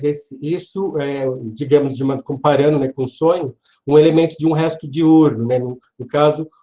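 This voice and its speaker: A man with a Brazilian accent giving an informal online literature lecture in a hesitant, halting manner, often checking for agreement. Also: fairly high pitch, warm tone. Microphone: online video call. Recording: dull, lo-fi online class audio.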